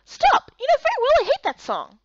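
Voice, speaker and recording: Woman acting excitable, feisty young fantasy heroine. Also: very high-pitched, brisk, no echo, muffled recording, voice pushed up, full character voice. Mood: indignant. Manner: exclamatory, emotive.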